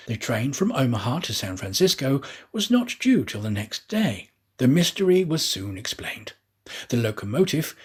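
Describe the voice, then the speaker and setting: A man narrates a storybook in a theatrical, expressive style. His pitch is mid-range and moves widely, and his voice has a husky grain. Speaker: audiobook reader, actor.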